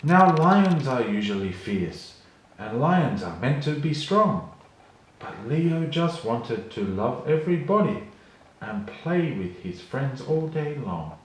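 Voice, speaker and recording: A man storyteller giving an expressive children's read-along narration. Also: medium pitch, slow pace. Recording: very echoey room, faint noise.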